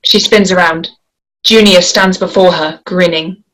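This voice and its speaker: A woman's voice: on the low side, steady tone, a little slow, a bit bright, a little hiss or hum, rough recording. A woman reading stage directions as the narrator at a table read, in scripted narration.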